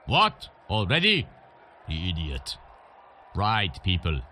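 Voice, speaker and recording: Very deep, gruff arena boss. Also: male voice, wildly swooping pitch, takes it slowly, pushed and bright, some chatter behind, slight background noise, full character voice. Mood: brisk, scornful. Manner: commanding, blunt. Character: gruff, brisk male arena boss, hardened and bossy. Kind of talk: video game character acting.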